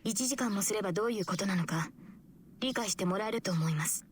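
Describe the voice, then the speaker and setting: A woman's voice: medium pitch, very fast, slight background noise, lightly acted. An actress voicing a composed, resolute young swordswoman with formal, polite speech in an anime-style game. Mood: firm, calm. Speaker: visual novel voice actor. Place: studio recording with loud music behind.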